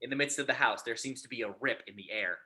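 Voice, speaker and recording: A man's voice: mid-range voice, fast, bright, forceful tone. A man reading stage directions aloud as the narrator of a script.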